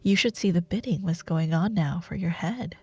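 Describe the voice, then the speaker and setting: A woman playing a seductive, ruthless female dark warlord in a fantasy audio roleplay, speaking deliberately with silky menace and breathy murmurs. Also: fairly low pitch, no room sound. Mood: mocking, coolly scheming. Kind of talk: dramatic fantasy audio roleplay monologue, ASMR.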